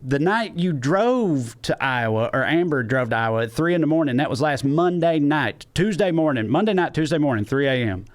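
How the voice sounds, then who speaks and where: A man's voice: fairly high pitch, lively intonation, moderate pace, hardly any pauses. A man with a Southern US accent talking casually as a comedy podcast co-host, with a wry way of questioning.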